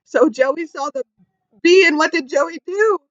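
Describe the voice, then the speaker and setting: Woman, teacher reading aloud to kids, high-pitched, questioning, simple explanations, dry dull recording. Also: unhurried, dry recording. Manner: animated, questioning, explains simply, exclaims in sympathy. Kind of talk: interactive read-aloud lesson for young children.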